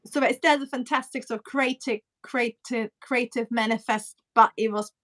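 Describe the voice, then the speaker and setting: A woman's voice: fairly high pitch, steady tone, normal speed, a bit bright. A woman from Germany with a German accent, a pattern cutting teacher chatting on a live stream, with frequent fillers and restarts. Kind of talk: casual live-stream chat.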